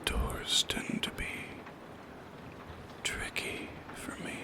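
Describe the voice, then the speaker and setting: A man voicing a lonely, shy shadow creature in an ASMR roleplay, speaking in a soft, halting, intimate whisper with a creaky edge. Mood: anxious musing. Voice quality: breathy whisper with creaky edges.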